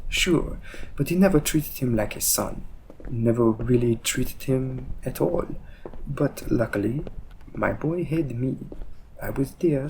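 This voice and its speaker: Man playing a slow-talking, wry, cocky assassin. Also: mid-range voice, a little hiss or hum, rough recording. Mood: earnest. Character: cocky, teasing male assassin, loyal and world-weary. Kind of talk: roleplay audio drama monologue.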